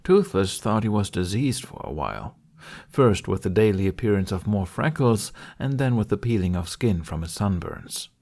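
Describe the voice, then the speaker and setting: A man's voice: fairly low pitch, darkish tone. A man reading a fiction audiobook as its narrator, in a scripted storytelling style.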